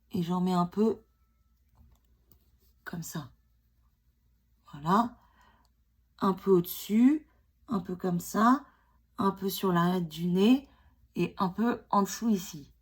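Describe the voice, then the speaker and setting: A woman's voice: on the low side, slow, pauses often. A woman in her forties with a cold, chatting casually and joking about herself while she does her makeup at home. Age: 40s. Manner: chatty, self-mocking, many fillers and restarts. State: has a cold. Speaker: beauty vlogger. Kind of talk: casual makeup tutorial chat.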